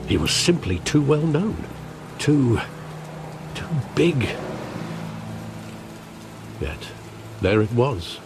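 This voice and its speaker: An actor playing a loyal elderly male butler, speaking in a measured, formal, grave way. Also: medium pitch, big pitch swings, background noise, heavily acted. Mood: reflective. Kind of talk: video game cutscene acting. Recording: game audio with rain ambience. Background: rain and ambience.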